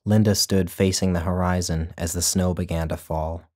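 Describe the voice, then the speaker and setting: A man, the author, narrating his own literary short story in a measured, even way. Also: low-pitched.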